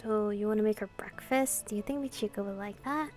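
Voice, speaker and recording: A woman reading visual novel dialogue and narration aloud in a casual, expressive way. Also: mid-range voice, brisk, faint music behind. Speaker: playthrough host reading translated dialogue.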